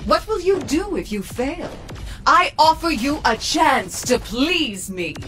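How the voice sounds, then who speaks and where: A high, domineering villainess. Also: female voice, big pitch swings, slow, a bit bright, soft background music, heavily acted. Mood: seductive, commanding. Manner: imperious, taunting, theatrical. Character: domineering female dominatrix villain, a haughty rogue simulation program. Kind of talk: video game villain voice acting.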